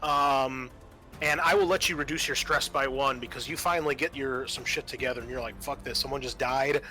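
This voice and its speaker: A man's voice: mid-range voice, fairly quick, bright, forceful tone, poor audio. A man narrating a tabletop roleplaying game as game master, informal and thinking aloud as he goes. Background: music.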